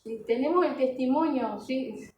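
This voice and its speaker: A woman's voice: sits high, a little slow, a little mellow. A woman preaching a sermon, exhorting her listeners earnestly with rhetorical questions and short check-in tags. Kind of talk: sermon to a congregation. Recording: echoey room, distant mic, background noise.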